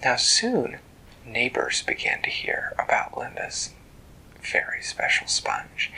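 Gravelly whispering bedtime storyteller. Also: mid-range voice, poor audio. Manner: hushed, gentle, unhurried narration.

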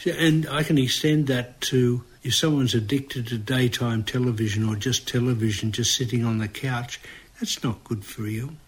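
A man's voice: mid-range voice, fairly even intonation, normal speed, breathy edge. A man with an Australian accent chatting casually as a podcast co-host. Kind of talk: casual chat.

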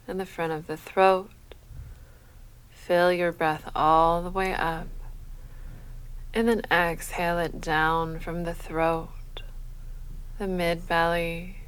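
Slow-paced woman guiding sleep meditation. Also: lowish voice, clean tone, poor audio. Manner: measured, soothing, unhurried instruction. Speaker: yoga nidra guide. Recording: faint steady background noise.

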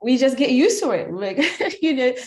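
A woman from Cameroon, a company founder in her thirties, talking at length in an interview in a reflective way with frequent ums and soft laughs. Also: sits high, very animated, moderate pace. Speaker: skincare company founder, guest. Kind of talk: conversational interview.

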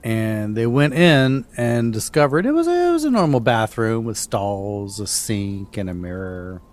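A middle-aged man hosting a podcast, casually telling spooky stories with halting, repeated starts. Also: on the low side, big pitch swings, darkish tone. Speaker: podcast host and storyteller.

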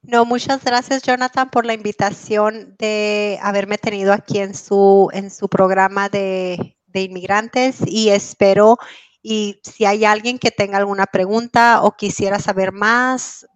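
A woman's voice: mid-range voice, steady tone, unhurried, smooth, no echo. A woman guest in an interview, speaking earnestly and encouragingly. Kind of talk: interview answers.

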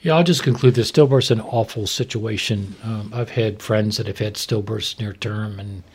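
A middle-aged American man, a doctor, explaining medical matters informally in a frank, measured way. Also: on the low side, a little mellow, breathy edge. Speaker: maternal fetal medicine doctor, podcast expert.

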